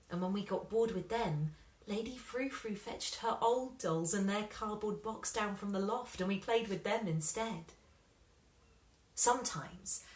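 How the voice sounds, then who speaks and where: A woman reading a children's story aloud with expressive, dramatic emphasis. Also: medium pitch, roomy sound, rough recording. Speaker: storybook reader.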